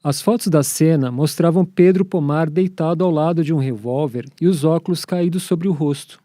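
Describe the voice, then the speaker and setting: Higher-pitched mellow Brazilian male narrator. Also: moderate pace, dry recording, studio quality. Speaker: presenter and narrator. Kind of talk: scripted documentary narration.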